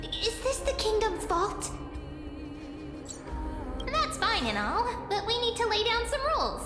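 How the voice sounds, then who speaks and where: A woman's voice: very high-pitched, big pitch swings, measured pace, echoey room, long reverb tail, over music, full character voice. A woman voicing a small, cute, curious fairy-like companion creature in a video game. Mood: chirpy, curious.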